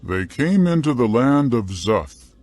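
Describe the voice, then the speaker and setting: A man narrating scripture from a script in a solemn, measured, carefully phrased dramatic style. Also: medium pitch, very animated, a little mellow.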